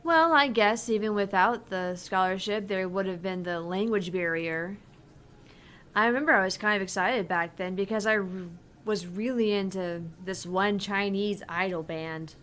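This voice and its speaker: A woman voicing a polite, thoughtful young bartender in a visual novel, earnest and measured as she explains things. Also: medium pitch, a bit bright, slight background noise, natural voice. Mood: curious, polite. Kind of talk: visual novel read-aloud.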